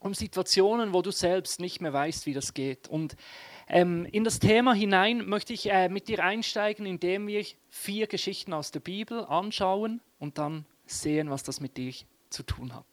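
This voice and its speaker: A man preaches in a lively, chatty way and keeps turning to his congregation. His voice sits high for a man. The sound is close and dry, with little room around it. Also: normal speed, no room sound. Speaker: pastor. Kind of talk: sermon with announcements.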